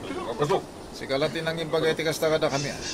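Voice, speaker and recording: An actor playing a pushy, taunting street loafer who bullies for money in an Ilocano radio comedy drama. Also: male voice, on the high side, steady tone, fast, a crowd in the background, background noise, close to an everyday voice. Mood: menacing, taunting. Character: bullying male street loafer demanding money.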